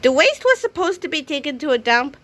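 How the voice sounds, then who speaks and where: A woman storyteller with a high, bright voice reads a children's book aloud. Her delivery is animated and aimed at young listeners. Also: fairly quick.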